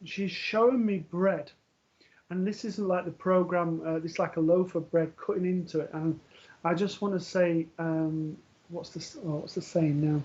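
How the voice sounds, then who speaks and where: A man working as a medium, giving a live reading in a gentle, reassuring way, often pausing with ums and restarting sentences. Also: on the high side. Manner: hesitant, full of ums and restarts. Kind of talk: live-streamed spiritual reading. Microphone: earpiece for live broadcast. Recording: dull lo-fi stream audio.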